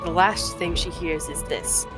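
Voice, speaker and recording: A woman's voice: fairly low pitch, fairly even intonation, measured pace. A woman playing through a video game, reading narration aloud with playful, dry asides, music playing behind her. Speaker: let's player.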